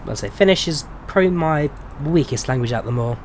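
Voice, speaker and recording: A man's voice: on the high side, quick pace, slight background noise. Chatty young English man streaming. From England. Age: 20s. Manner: relaxed, chatty, answers chat questions with frequent ums. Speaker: flight sim streamer. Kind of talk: casual live-stream chat with viewers.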